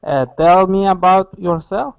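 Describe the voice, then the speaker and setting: A young man with a Moldovan accent, a student giving short, halting answers in an English lesson. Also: high voice, gravelly, smooth. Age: young adult. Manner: halting, terse. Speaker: university student learning English. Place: online group call. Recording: dull online call audio.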